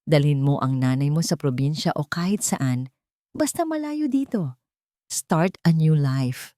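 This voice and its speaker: A woman's voice: deep voice, lively intonation, unhurried, dry recording, clean studio sound. Haughty, sarcastic rich matriarch, read by a woman. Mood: dismissive contempt. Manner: gentle but poisonous, condescending.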